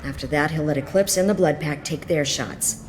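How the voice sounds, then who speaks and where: Woman playing a low-voiced, jaded mercenary lieutenant. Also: moderate pace, background noise, natural voice. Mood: businesslike. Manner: frank, businesslike, bitter. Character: jaded, hard-edged female mercenary lieutenant. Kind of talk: video game voice acting.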